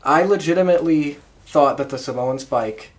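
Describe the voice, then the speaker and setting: A woman's voice: deep voice, moderate pace, a little mellow. A woman co-hosting a podcast in casual conversation, stressing key words emphatically. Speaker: wrestling podcast co-host. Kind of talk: casual group chat.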